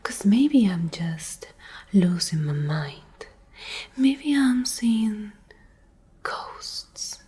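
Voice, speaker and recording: A woman playing a menacing, possessive female pack Alpha in a soft, condescending ASMR roleplay whisper. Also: mid-range voice, big pitch swings, exaggerated voice. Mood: taunting, menacing. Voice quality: breathy whisper. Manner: slow, deliberate, condescending.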